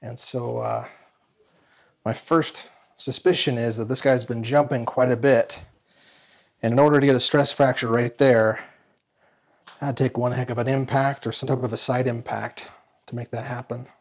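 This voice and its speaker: Measured man explaining a bike repair. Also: medium pitch, level tone, gravelly. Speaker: host. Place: bike workshop.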